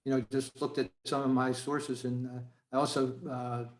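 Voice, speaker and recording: A man's voice: medium pitch, level tone. Hesitant man in an echoing room. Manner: hesitant, halting. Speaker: club member. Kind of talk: casual discussion on a video call. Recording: roomy, echoing video-call audio.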